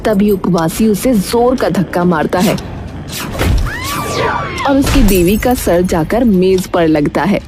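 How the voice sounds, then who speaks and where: A woman's voice: mid-range voice, animated, slow pace, long pauses. A woman narrating a cartoon moral tale as a storyteller, in a scripted style. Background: music.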